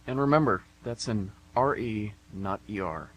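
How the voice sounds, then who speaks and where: A man's voice: lowish voice, moderate pace, low-quality recording. A man co-hosting an amateur radio theatre show, introducing it in an informal way. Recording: amateur radio, faint background hum.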